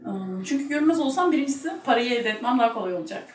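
A woman's voice: on the high side, expressive, racing, far from the mic. A woman, chatting casually as a guest on a talk show. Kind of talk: casual chat, game-show answers. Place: small indoor studio set with curtain. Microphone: single table microphone at a distance. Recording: roomy, voice away from the mic.

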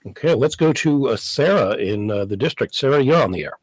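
A man's voice: medium pitch, expressive, fairly quick, slightly rough, no echo. A middle-aged man hosting a radio show, interviewing conversationally on a close studio mic. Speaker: radio host. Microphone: close studio condenser mic.

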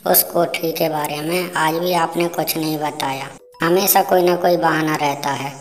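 A curious young cartoon boy, acted by a woman, pitched quite low. He is stubborn and talks to himself in exclamations as he explores. Music plays faintly behind him. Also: steady tone, measured pace. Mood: sulky. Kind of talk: cartoon story voice acting.

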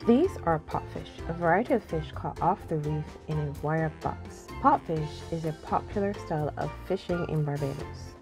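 A woman's voice: deep voice, normal speed, few pauses. A woman reading scripted, informational narration. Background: music.

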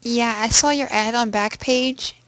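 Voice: medium pitch, steady tone, unhurried, a bit bright, no echo. A young adult with a crude, sweary way of talking, chatting casually and making prank phone calls. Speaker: prank caller. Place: indoors at a computer.

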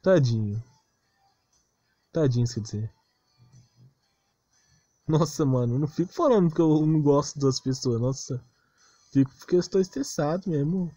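Exasperated Brazilian man, higher-pitched, muffled mic. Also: lively intonation, moderate pace, pauses often, dark tone, no echo, faint music behind. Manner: defensive, exasperated complaining. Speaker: gaming video creator. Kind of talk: casual unscripted rant over game footage.

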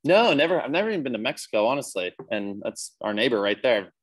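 A man's voice: mid-range voice, very animated, fast talker. A young American man, a baseball player, chatting easily as a podcast guest over a video call, with plenty of ums. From United States. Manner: easygoing, chatty, many fillers. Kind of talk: casual interview on a video call.

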